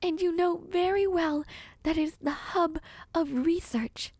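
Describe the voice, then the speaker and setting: Woman voicing a sick young princess, very high soft voice, slow, earnest, breathy, fantasy audio drama. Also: no echo. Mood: resolute pleading. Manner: earnest, formal storybook diction. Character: gravely ill young princess, gentle but resolute, pleading. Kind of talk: audio roleplay drama acting.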